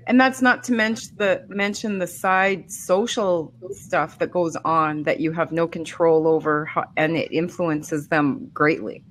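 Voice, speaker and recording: Woman, co-host, lower pitch, agreeable, affirming, casual chat. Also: fairly even intonation, unhurried, few pauses, fairly bright tone, slight background noise, low-quality recording. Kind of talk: casual online group chat.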